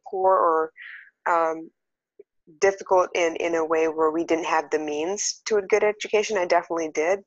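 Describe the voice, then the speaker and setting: A woman's voice: deep voice, dry recording. A woman from Singapore who grew up in several countries, talking casually and reflectively as a podcast guest. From born in Singapore, raised across several countries. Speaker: podcast guest, emotional intelligence coach. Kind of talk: casual, reflective interview talk. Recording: dull, slightly muffled lo-fi audio.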